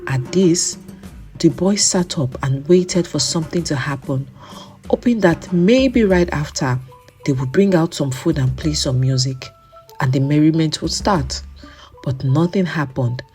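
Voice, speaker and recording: A woman tells a children's folktale in a calm, steady storytelling voice. Her voice sits on the low side, and quiet music plays underneath. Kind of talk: scripted folktale narration for children.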